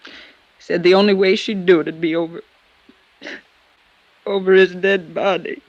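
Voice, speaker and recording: An actress playing a loyal, wary, deferential American housekeeper in a 1950s radio drama, folksy and hesitant. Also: female voice, fairly low pitch, fairly even intonation, moderate pace, no room sound, lo-fi sound, close to an everyday voice. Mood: defensive, upset.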